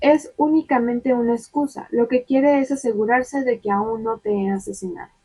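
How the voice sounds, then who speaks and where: Tense controlling vampire guy, a woman just reading him in her own voice, husky, kinda high. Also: fairly even intonation, moderate pace, mellow, rough recording. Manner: urgent, clipped, controlling. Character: protective, tense young male vampire husband.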